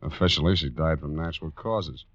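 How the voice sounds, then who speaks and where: An actor playing a wry, hard-boiled private detective in a 1940s radio drama, with clipped deadpan delivery. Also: male voice, extremely low pitch, fairly quick. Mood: dry, probing. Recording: muffled 1940s radio recording, music behind.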